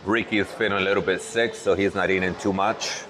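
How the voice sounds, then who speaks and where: Low-voiced guy vlogging his dinner, laid back, repeats himself a lot. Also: steady tone, brisk, a crowd in the background. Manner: easygoing, repeats words while thinking aloud. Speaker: vlogger host. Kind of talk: casual food vlog chat. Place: indoor restaurant table.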